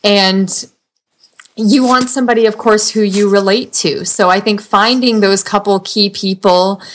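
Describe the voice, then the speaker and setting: A woman's voice: mid-range voice, steady tone, unhurried, a little hiss or hum. Upbeat woman giving earnest advice. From Canada. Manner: upbeat, earnest, uses "like" often. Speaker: interview guest, blogger. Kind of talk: conversational advice.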